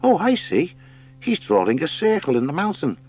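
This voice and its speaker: An ancient hare from an animal storybook, put on by a man. The voice is raised high and swoops about, quavering, polite and old-fashioned. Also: wildly swooping pitch, normal speed, a little mellow. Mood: fascinated. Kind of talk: audiobook character acting.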